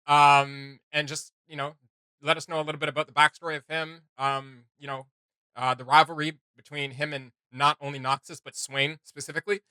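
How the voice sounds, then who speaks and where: Bright, rambling guy chatting dry. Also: fairly high pitch, flat delivery, fairly quick, clean tone, no room sound, poor audio. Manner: rambling, filler-heavy, laughs often. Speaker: reaction video co-host. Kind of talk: casual reaction chat.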